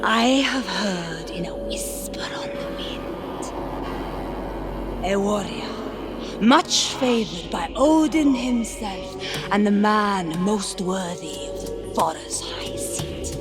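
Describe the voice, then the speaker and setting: A woman's voice: medium pitch, huge pitch swings, slow. A woman playing a mystic seeress in a video game, speaking in a solemn, incantatory and prophetic way. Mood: grave, foreboding. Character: mystic seeress, prophetic and commanding, cryptic counsel. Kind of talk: dramatic video game acting. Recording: loud music under the voice.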